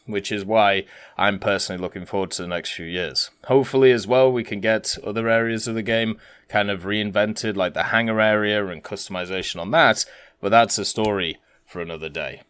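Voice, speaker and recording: A man's voice: fairly low pitch, fairly quick, fairly bright tone, clear, resonant tone. A man giving casual, rambling commentary as the host of a gaming video.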